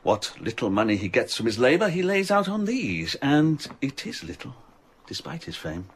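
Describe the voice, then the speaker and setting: An actor playing a wry, gossipy bookseller in a radio drama, husky and theatrically expansive. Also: male voice, medium pitch, big pitch swings, moderate pace. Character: wry, gossipy London bookseller, hospitable and teasing.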